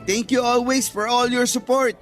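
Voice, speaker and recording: An actor playing a sweet, devoted young boyfriend in a Tagalog radio romance, earnest and affectionate. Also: male voice, very high-pitched, steady tone, normal speed. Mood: proud, happy. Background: faint music.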